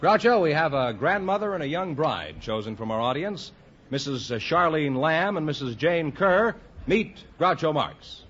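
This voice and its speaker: A man's voice: on the high side, lively intonation, unhurried, fairly bright tone. An American man announcing on a quiz show in 1940s radio style. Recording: muffled, noisy old radio recording.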